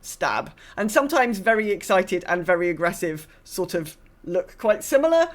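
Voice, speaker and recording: A woman in her forties with a slightly low voice talks casually as a podcast co-host. She rambles along expressively, circling back on her thoughts with a self-deprecating streak. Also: very animated, normal speed. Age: mid-forties. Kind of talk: casual chat.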